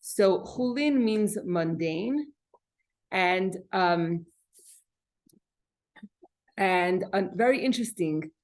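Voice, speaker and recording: A woman teaching an informal class, explaining word meanings haltingly, repeating and rephrasing her points and putting questions to her listeners. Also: on the low side, expressive. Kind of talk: informal spoken lesson explaining Hebrew vocabulary.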